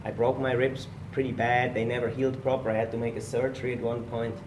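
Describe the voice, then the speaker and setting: A man talks easily about his past, his English a little in phrasing. The mic sits some way off in an echoey gym, with steady noise behind him. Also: medium pitch, fast talker, recorded from a distance. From Switzerland. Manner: relaxed storytelling, slightly non-native phrasing. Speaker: guest, personal trainer. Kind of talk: casual podcast interview.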